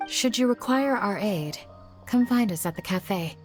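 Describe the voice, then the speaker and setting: A woman's voice: medium pitch, animated, unhurried, natural voice. A woman playing a composed, courteous female guardian in an anime game, speaking formally and politely. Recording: faint music behind the voice.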